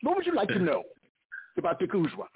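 A man hosting a radio call-in show, taking callers in a slow, laughing manner. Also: high-pitched. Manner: slow, lively, breathy, with frequent laughs. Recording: phone-like line.

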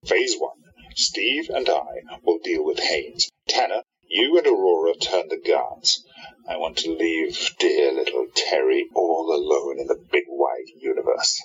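A man's voice: extremely low pitch, measured pace, fairly bright tone. A man playing a confident time-traveling hero in an amateur audio drama, reassuring and authoritative. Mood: pleased, commanding. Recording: dull, muffled lo-fi recording.

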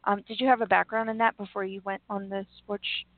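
A woman's voice: mid-range voice, little pitch movement, fast, no echo. A woman co-hosting a talk show, asking questions casually with a self-deprecating touch. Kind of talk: casual interview chat. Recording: tinny phone-like line, dry.

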